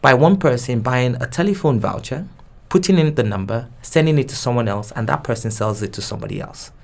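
A man's voice: medium pitch, fairly quick, gravelly, darkish tone. A man whose first language is Yoruba, answering interview questions thoughtfully with frequent restarts and repeated words. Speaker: guest expert.

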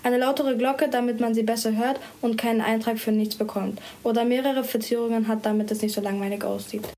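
High-voiced teenage girl. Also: fairly even intonation, a little fast, dark tone. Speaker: student reporter. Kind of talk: scripted report.

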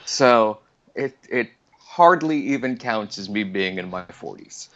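A man's voice: on the low side, lively intonation, a little slow, fairly bright tone, dull audio. A man in his forties, a returning podcast guest, chatting casually in a rambling, self-deprecating way. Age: 40s. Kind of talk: casual chat.

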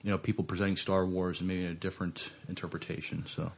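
A man's voice: low-pitched, level tone, fast talker, vocal fry, slight background noise. A man co-hosting a podcast in casual chat, speaking in a hesitant, understated way. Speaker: podcast co-host.

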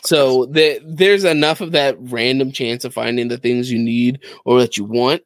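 Man, average pitch, casual podcast chat, enthusiastic explainer, dry sound. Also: steady tone, normal speed, dry recording. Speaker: podcast co-host. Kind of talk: casual chat about video games.

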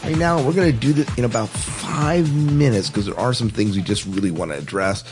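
A man's voice: medium pitch, a little fast, music in the background. A man co-hosting a podcast in a casual, chatty style. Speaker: podcast co-host.

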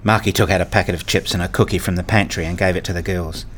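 A man's voice: sits low, steady tone, quick pace, a bit bright, a little hiss or hum. A man playing a weary, hurt father who narrates his own story in a radio play. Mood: sombre, measured. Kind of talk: first-person radio play narration and acting.

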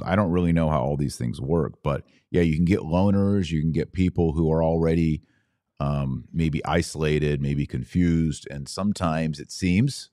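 A man's voice: sits low, dark tone, dry recording, studio quality. A man hosting a livestream podcast, reading viewer comments aloud and answering them in an earnest, conversational way that rises to indignant emphasis. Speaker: podcast host.

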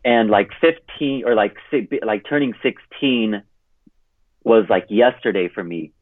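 A man about to turn thirty, from California, rambles with a steady stream of fillers as a podcast guest. His voice sits a little low and comes through a tinny phone line, dry and close. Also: a little slow, dry recording. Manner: rambling, heavy use of "like". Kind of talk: casual interview chat.

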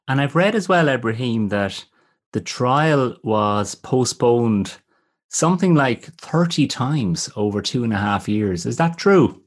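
A man hosting a podcast, reading a scripted intro and putting questions to his guest in a measured, courteous way. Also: mid-range voice, animated. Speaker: podcast host.

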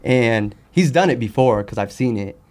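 A man's voice: medium pitch, lively intonation, normal speed, a little mellow. A man chatting casually as a podcast guest, talking in a candid, slangy way. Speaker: podcast guest, sneaker customizer. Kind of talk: casual chat.

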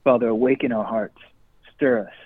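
A man with a husky voice leads a prayer, earnest and slow. He is heard over a tinny phone line with a dry sound. His pitch sits in the middle of the range. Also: steady tone, no echo. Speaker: radio co-host. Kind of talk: leading a spoken prayer.

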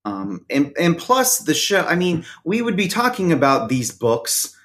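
A man's voice: on the high side, very animated, measured pace, fairly bright tone. A man speaking as a podcast guest, explaining things at length in a wry, rambling way with many fillers. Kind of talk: casual interview chat.

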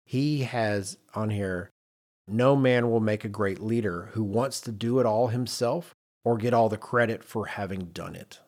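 A man's voice: fairly low pitch, measured pace, darkish tone. A man hosting a podcast in a conversational, emphatic, self-deprecating way, moving between chat and read-out notes. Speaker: podcast host.

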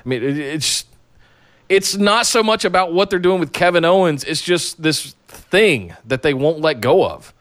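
Male podcast co-host, mid pitch, smooth resonant voice, opinionated and rambling. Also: lively intonation, moderate pace, fairly bright tone. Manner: opinionated, rambling, frequent restarts. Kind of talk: casual wrestling podcast chat.

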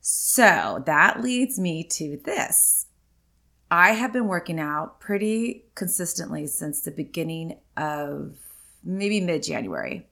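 A woman's voice: sits low, animated, takes it slowly, gravelly, pushed and bright. A woman in her forties hosting her own podcast, talking candidly in a chatty, rambling way with many ums and likes. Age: 40s. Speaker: podcast host. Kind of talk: casual solo podcast chat.

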